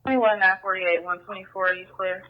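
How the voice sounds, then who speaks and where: A man's voice: sits high, little pitch movement, a little fast. A man giving terse, clipped traffic over police radio. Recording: dull, lo-fi police scanner.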